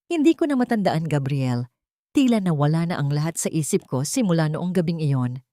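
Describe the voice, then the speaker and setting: A woman's voice: sits low, big pitch swings, a little fast, warm tone, no echo, studio quality. A woman with a Filipino accent voicing a weary, wounded wife in a dramatic story, faint and guarded. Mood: dazed, faint. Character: wounded, weary wife feigning a broken mind.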